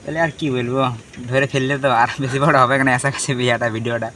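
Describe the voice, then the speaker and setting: A man's voice: mid-range voice, fairly even intonation, fast, pushed and bright, slight background noise. A man chatting casually as a prank-call host. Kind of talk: casual chat to viewers and on a phone prank.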